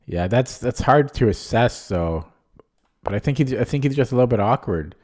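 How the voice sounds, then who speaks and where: A man's voice: medium pitch, expressive, moderate pace, a little mellow. A man streaming chess who thinks aloud in a casual, hesitant way, his voice a little strained from talking nonstop. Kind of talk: casual live-stream commentary.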